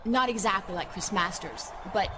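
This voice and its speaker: A woman's voice: mid-range voice, moderate pace, background noise, close to an everyday voice. An actress playing a flirty, scheming female wrestler who giggles as she talks.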